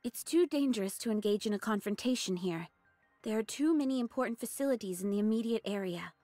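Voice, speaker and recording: A woman's voice: medium pitch, expressive, fast, mellow, no room sound, close to an everyday voice. A woman voicing a confident android squad leader in an anime game, firm and decisive, with music behind her. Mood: commanding.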